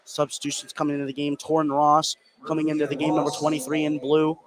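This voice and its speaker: An American male play-by-play announcer with a fairly high voice calls a live basketball game for radio. He speaks over clear background noise from the gym. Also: completely flat delivery, fast talker. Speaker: play-by-play sports commentator. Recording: clearly noisy gym ambience.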